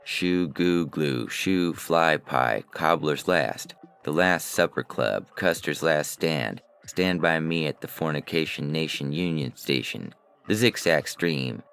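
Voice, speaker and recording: Very deep, gravelly male story narrator. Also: a bit bright, no room sound. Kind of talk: scripted first-person science fiction story narration. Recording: music bed under the voice. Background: music.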